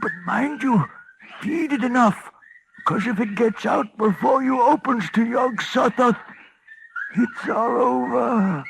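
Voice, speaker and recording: A man playing a dying old rural wizard in 1940s radio horror, speaking in halting, urgent, commanding rustic dialect. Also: very high-pitched, slight background noise, heavily acted, low-quality recording. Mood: desperate warning. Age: older. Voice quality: creaky, gravelly, strained.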